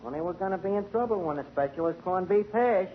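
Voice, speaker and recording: A man's voice: high voice, lively intonation, fast talker. A man, acting in a 1940s radio comedy as a wisecracking, streetwise tavern manager. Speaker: tavern manager character Archie. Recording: muffled, noisy old radio broadcast.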